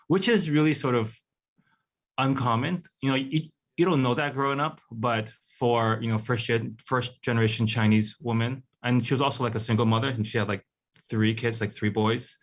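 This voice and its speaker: A man who grew up in Hawaii, talking casually about his life as an artist in a relaxed, rambling way full of fillers. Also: mid-range voice. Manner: relaxed, rambling, many "like" and "you know" fillers. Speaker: artist guest. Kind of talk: casual interview chat.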